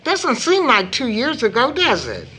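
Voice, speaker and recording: A woman playing a weary, loving mother of sixty in an audio drama, speaking gently and reflectively. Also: fairly high pitch, huge pitch swings, measured pace, slight background noise, heavily acted.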